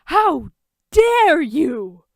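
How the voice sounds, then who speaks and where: A woman's voice: high-pitched, very animated, extremely slow, gravelly, no echo, clean studio sound, pitched above the performer's own voice, full character voice. A woman voicing a tipsy, brash male billionaire in a fan fiction reading, teasing and mock-dramatic. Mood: gasping, theatrical.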